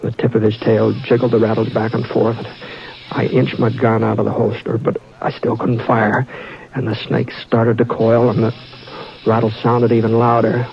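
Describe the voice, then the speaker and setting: Man voicing a calm cowboy, even mid-pitched voice, dull old radio sound, faint music. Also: creaky, a little hiss or hum, natural voice. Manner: calm, measured, reassuring. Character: calm steady cowboy, drawling frontier narrator. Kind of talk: 1950s radio drama acting.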